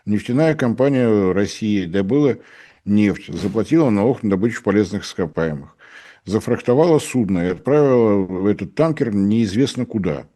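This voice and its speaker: A man's voice: lowish voice, expressive, moderate pace, mellow. Dry, mocking middle-aged man. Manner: ironic, dryly mocking, acts out dialogues. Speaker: energy analyst guest. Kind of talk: sarcastic analytical commentary.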